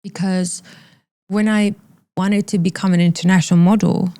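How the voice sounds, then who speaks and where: A woman's voice: fairly low pitch, fairly even intonation, moderate pace, dark tone. A woman in her thirties, originally from Iran, speaking candidly and with emphatic repetition as an interview guest. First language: Persian.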